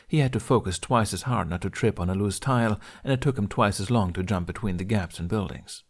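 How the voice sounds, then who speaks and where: Low-voiced man narrating an adventure story. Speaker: podfic narrator.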